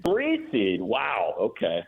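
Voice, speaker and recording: A man speaking as a guest announcer in a casual sports radio interview, thinking aloud with halting, repeated words. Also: fairly low pitch, wildly swooping pitch, vocal fry. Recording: muffled phone-like line.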